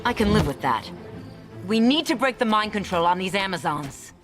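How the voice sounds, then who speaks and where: A woman's voice: medium pitch, sing-song, moderate pace, loud music behind the voice, close to an everyday voice. A woman playing a noble, composed warrior superhero in a cartoon video game, firm and earnest. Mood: firm, commanding.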